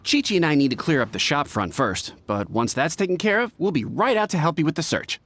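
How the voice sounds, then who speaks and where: A calm pharmacy assistant, matter-of-fact in a video game. Her pitch moves a good deal. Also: fairly quick, slight background noise.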